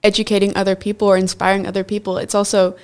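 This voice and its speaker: A woman's voice: medium pitch, flat delivery, very fast, smooth. A teenage girl from the Netherlands, answering questions as a student guest in an interview. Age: teens.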